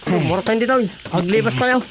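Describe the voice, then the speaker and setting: A man with a Filipino accent playing an excitable, argumentative companion in a radio comedy drama. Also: high voice, a little fast, background noise, natural voice. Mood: alarmed.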